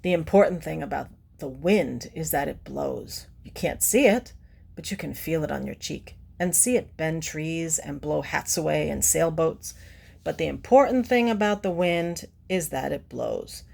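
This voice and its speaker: A woman's voice: deep voice, a little slow, creaky, a little mellow, husky. A woman reading a picture book aloud to children, adding simple teacherly explanations and putting questions to the listener. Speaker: children's read-aloud presenter.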